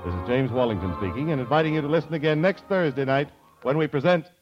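A man's voice: on the high side, fast talker, highs cut off. A man with an American accent announcing in a scripted 1950s radio style. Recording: vintage radio broadcast with music.

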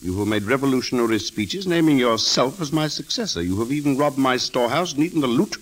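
An actor playing a sly, imperious old king in a 1930s radio drama, speaking theatrically with drawn-out mockery on a hissy, crackly recording. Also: medium pitch, animated, close to an everyday voice. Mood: mocking, commanding. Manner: theatrical, deliberate, drawn-out and mocking.

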